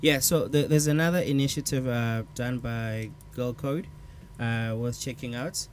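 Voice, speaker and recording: A man's voice: mid-range voice, steady tone, measured pace, slight background noise. Man, upbeat co-host chat. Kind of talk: casual tech show chat.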